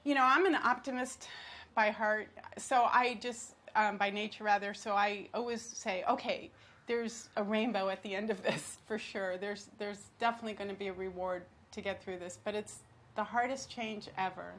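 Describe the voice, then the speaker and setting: A woman's voice: medium pitch, steady tone, normal speed, fairly bright tone. A middle-aged woman in an interview, upbeat and laughing often as she reflects. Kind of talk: personal reflection.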